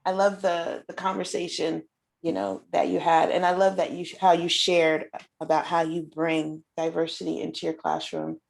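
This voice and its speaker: A woman's voice: on the low side, level tone, moderate pace, creaky. A middle-aged woman hosting a casual conversational interview, effusive and repeating phrases for emphasis. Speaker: show host and children's book author.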